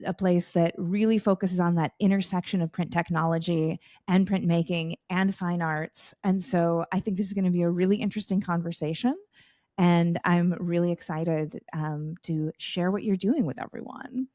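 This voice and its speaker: A woman's voice: lowish voice, steady tone, a little slow, darkish tone, no room sound, clean studio sound. A woman hosting a podcast interview, welcoming and articulate as she introduces her guests. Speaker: podcast host.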